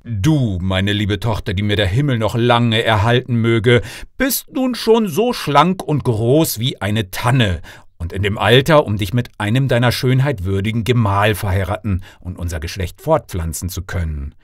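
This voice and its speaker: A man's voice: lowish voice, expressive, moderate pace, no room sound, studio quality, natural voice. A man voicing a doting, eager king and father in a storybook reading, emphatic and declamatory. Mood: doting, expansive.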